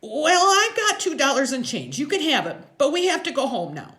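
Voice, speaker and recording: Nervous brainy little boy, played by a woman, chattery high pitch, wordy, stammering, very polite, audio novel. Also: big pitch swings, normal speed, bright, forceful tone, full character voice. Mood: anxious insistence.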